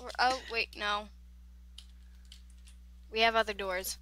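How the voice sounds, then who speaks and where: A woman from East Tennessee with a Southern accent, chatting excitably and playfully as a player in a tabletop game. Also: medium pitch, slow. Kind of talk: casual chat during a tabletop game.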